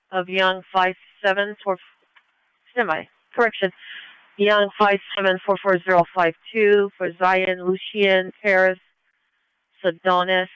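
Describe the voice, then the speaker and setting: A male dispatcher with a high, bright and very crisp voice. He gives clipped procedural radio calls through a dry, slightly lo-fi police scanner. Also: no room sound.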